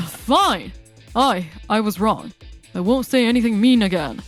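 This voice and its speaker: A woman's voice: mid-range voice, animated, measured pace, faint music behind, voice pushed down. A woman voicing a whiny, cowardly divorced father in a manga dub, pleading and flustered.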